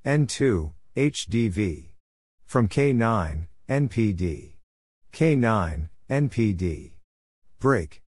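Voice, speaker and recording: Slow, low-voiced man reading radio exchanges. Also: very animated, darkish tone, a little hiss or hum. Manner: spells out letters and numbers deliberately. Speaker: Morse code practice narrator. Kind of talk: scripted readout of radio exchanges.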